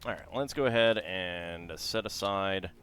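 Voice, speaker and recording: A man's voice: low-pitched, a little slow, a bit bright, clean tone. Chatty man streaming a Lego build. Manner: chatty, reads facts aloud, then talks to chat. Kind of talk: casual livestream chat while building, reading aloud.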